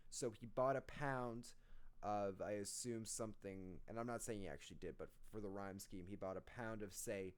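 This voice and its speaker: A man's voice: on the low side, moderate pace, clear, resonant tone. A man hosting a rap reaction, casually explaining lyrics line by line with lots of fillers. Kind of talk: casual lyric breakdown commentary.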